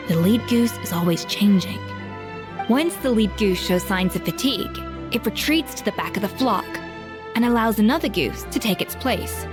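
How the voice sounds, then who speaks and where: A woman's voice: mid-range voice, lightly acted. A woman voicing an exacting, confident female master chef in a video game, articulate and lecturing, with assured delivery. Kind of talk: video game voice acting, scripted dialogue.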